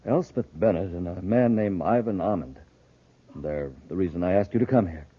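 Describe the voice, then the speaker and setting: An actor playing a nervous, honest plant owner under pressure in old-time radio drama, hesitant and earnest. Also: male voice, low voice, moderate pace. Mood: anxious, earnest. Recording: tinny, husky-sounding vintage radio recording.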